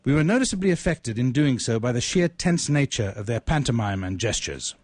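Male author-narrator for literary tale: measured, ornate delivery, medium pitch, dry sound. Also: big pitch swings, darkish tone, dry recording. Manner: ornate, deliberate, measured phrasing. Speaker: writer reading own short story. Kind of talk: dramatic literary story reading.

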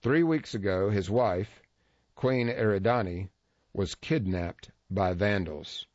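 Low-voiced man reading a wry story. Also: slow pace, darkish tone, no room sound. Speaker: narrator of a feature story. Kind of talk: scripted first-person narration. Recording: dull lo-fi dry recording.

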